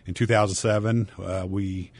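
A man's voice: on the low side, fairly even intonation, normal speed, darkish tone, no room sound. A middle-aged man speaking as a guest on a radio talk show, businesslike with many fillers. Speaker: guest, bank president. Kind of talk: radio interview.

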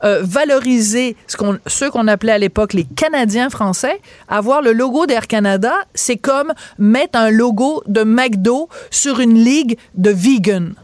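A woman's voice: on the high side, measured pace, darkish tone, dry recording. A middle-aged woman with a Québécois accent, a radio co-host arguing her point with passion and emphatic repetition. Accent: Québécois French. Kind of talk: opinionated radio debate. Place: radio studio. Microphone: studio microphone.

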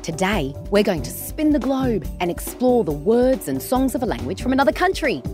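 Woman, kids' podcast host, bouncy and animated, a bit higher pitch. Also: moderate pace, music in the background. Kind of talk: cheerful sing-song presenting for kids.

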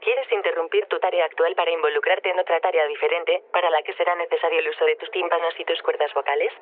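A woman's voice: on the low side, steady tone, fast, no echo, natural voice. A woman playing a helpful, literal-minded artificial intelligence reporter, speaking matter-of-factly over a radio link. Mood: literal, polite. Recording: narrow radio-link sound.

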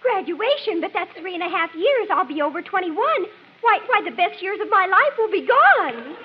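A woman's voice: very high-pitched, sing-song, moderate pace. A woman playing a lovestruck, melodramatic seventeen-year-old daughter in a 1940s radio sitcom. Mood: indignant. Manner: gushing, melodramatic. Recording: muffled, noisy old radio recording, audience behind.

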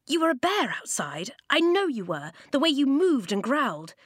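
Woman doing a cocky wary kid boy, higher and fast, dry. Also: big pitch swings, no room sound. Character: bold wary young boy.

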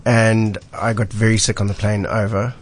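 Guy with a low husky sick voice, laid back, lots of ums, radio studio. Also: moderate pace, a little hiss or hum. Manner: relaxed, frequent ums and repeated words. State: husky voice from illness. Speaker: mountain guide, weekly radio guest. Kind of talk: casual radio chat.

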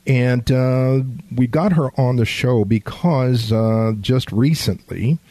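A man from San Antonio with a Texan accent, hosting a conservative talk radio show, halting and repeating words with many fillers. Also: mid-range voice, mellow, no room sound. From San Antonio, Texas.

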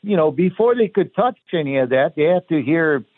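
A man's voice: on the high side, brisk, no echo. Hawaiian man on a tinny phone line. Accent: Hawaiian pidgin. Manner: relaxed, many fillers like "you know". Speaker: guest. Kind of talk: casual interview talk.

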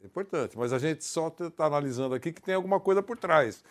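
A man commenting on football in a studio panel, measured and analytical, chiming in with agreement. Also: on the high side, lively intonation. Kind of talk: studio sports talk.

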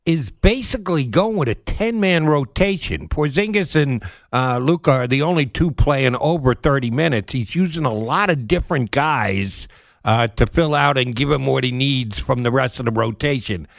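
A man's voice: mid-range voice, animated, normal speed, vocal fry, dry recording. A man hosting a sports radio interview, putting questions conversationally in casual, punchy short phrases.

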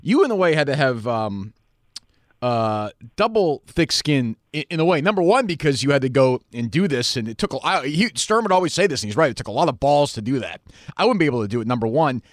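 A man's voice: mid-range voice, very animated, brisk, dry recording, clean studio sound. A man hosting a podcast, asking his guest conversational follow-up questions. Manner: probing, asks follow-ups. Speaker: podcast host. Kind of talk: conversational interview.

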